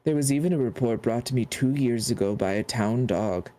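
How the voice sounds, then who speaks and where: A man's voice: medium pitch, mellow, close to an everyday voice. A man voicing an ancient, absent-minded male mouse scholar who hums and mutters as he reads from old records. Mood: stern. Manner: doddering, muttering hums, slow and fussy.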